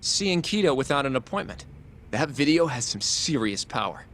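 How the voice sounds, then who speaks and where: A man's voice: mid-range voice, sing-song, moderate pace, fairly bright tone, a little hiss or hum. A man voicing a polite, earnest, slightly nervous young lawyer in an English game dub. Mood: upbeat.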